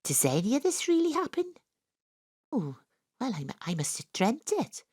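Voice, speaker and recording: A woman's voice: on the high side, huge pitch swings, moderate pace, a little mellow, husky, no room sound, clean studio sound, full character voice. An actress playing a daydreaming detective who narrates her own heroic dream in melodramatic first person. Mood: puzzled. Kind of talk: live radio drama acting. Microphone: home studio mic.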